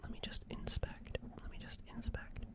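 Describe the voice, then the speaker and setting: Woman playing a whispering, gentle alien caregiver. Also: normal speed, close to an everyday voice. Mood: concerned, careful. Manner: soothing, hesitant, repeats phrases softly. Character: gentle flustered alien nurse tending a crash survivor. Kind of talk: whispered ASMR roleplay.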